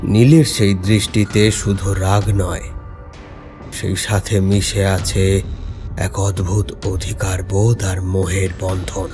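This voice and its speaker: A man's voice: deep voice, slow, music in the background. A man narrating a scripted horror story in a dramatic, suspenseful way. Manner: suspenseful, expressive.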